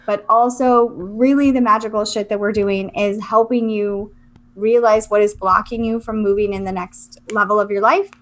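A woman's voice: mid-range voice, steady tone, moderate pace. A woman from southern Florida co-hosting a podcast, talking in an informal, chatty, self-deprecating way. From southern Florida, United States. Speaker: podcast co-host. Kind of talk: casual conversational podcast chat.

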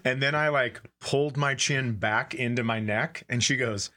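A man's voice: medium pitch, moderate pace, pushed and bright. A middle-aged man co-hosting a comedy podcast, trading casual jokes with dry, self-deprecating humor. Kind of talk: casual joking banter.

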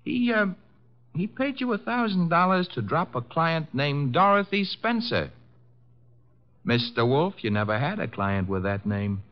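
A flippant male detective's sidekick in an old radio mystery. His delivery is very animated, swinging up and down, with a casual sardonic edge, on a muffled vintage recording. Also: fairly high pitch, a little slow. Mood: skeptical. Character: wisecracking, cheeky male private detective's assistant. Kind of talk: 1950s radio drama acting.

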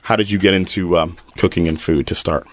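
A man hosting an interview, teasing and joking with his guest in a relaxed chat. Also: deep voice. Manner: playful, teasing, quick to laugh. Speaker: podcast host.

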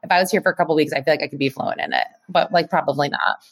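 Animated woman, casual podcast storyteller. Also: sits low, racing. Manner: animated, informal, swearing, peppered with "like". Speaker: podcast co-host.

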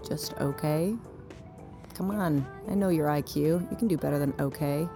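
A woman's voice: low-pitched, normal speed, over music. An actress playing a blunt, wry but caring woman in an audio drama, talking in a naturalistic, teasing way. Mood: gentle, frank.

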